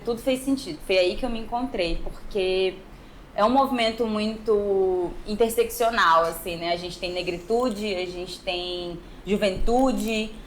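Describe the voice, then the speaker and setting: Young northern Brazilian woman, noisy recording. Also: medium pitch. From Manaus, northern Brazil. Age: young adult. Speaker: podcast guest, musical artist. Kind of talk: conversational personal storytelling in an interview. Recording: clear background noise.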